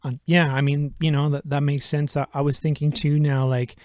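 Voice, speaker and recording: A man's voice: fairly high pitch, flat delivery, normal speed, darkish tone, no echo, clean studio sound. A man hosting a radio interview, asking long, rambling questions full of fillers and hedges. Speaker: radio show host.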